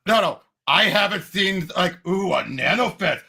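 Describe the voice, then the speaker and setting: A man co-hosting a casual movie discussion podcast, talking emphatically and excitably and jumping in often. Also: high voice, a little slow, gravelly, slightly rough. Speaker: podcast co-host.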